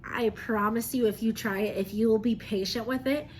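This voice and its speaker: Higher-pitched woman teaching young children. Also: fairly even intonation, moderate pace, poor audio. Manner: encouraging, simple phrasing addressed to children. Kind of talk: read-aloud and science lesson for young children. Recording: noticeable background noise.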